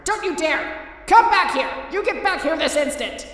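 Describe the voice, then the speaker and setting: A woman's voice: sits high, lively intonation, moderate pace, fairly bright tone. A woman voicing an earnest, boastful, caring young male skeleton in a dramatic comic dub, grandiose and insistent in manner. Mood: alarmed, commanding. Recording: echoey hall, distant, faint music.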